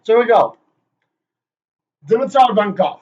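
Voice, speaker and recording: A man with a high voice runs through a presentation in a joking, hyped-up way, teasing the people he names. The recording sounds dull and lo-fi. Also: animated, moderate pace, a little mellow. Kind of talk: rehearsing a playful conference talk.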